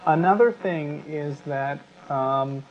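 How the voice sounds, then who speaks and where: A man co-hosting a talk show, explaining his points in a measured, analytical, conversational way. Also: on the high side. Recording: slightly dull audio with faint noise.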